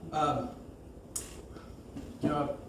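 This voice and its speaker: A man giving an informal eulogy at a memorial, speaking hesitantly with frequent pauses. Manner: hesitant, pausing often. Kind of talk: informal memorial tribute, halting. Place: large room at a memorial service. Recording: echoey, noisy room, far from microphone.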